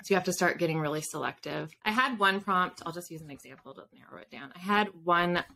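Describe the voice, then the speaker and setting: Woman, 30s, author guest. Forceful bright tone, slightly low, conversational with asides. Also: fast talker, vocal fry, a little hiss or hum. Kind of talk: casual interview chat. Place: home room with bookshelves, indoors. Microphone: video call.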